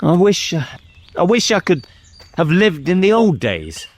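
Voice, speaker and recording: A man's voice: high voice, big pitch swings, unhurried, a little mellow, voice pushed up, heavily acted. A man voicing a very young, eager and innocent boy prince in a storybook, earnest and babbling. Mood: shy, wistful. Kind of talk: dramatic storybook character reading.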